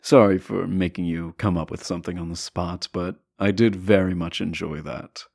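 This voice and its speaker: A man playing a teasing, self-assured deposed prince in a romantic audio roleplay, speaking softly and deliberately with courtly, formal phrasing. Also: low voice, fairly even intonation, creaky, no room sound, clean studio sound. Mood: amused, pleased. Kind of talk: romantic audio roleplay acting, addressing the listener.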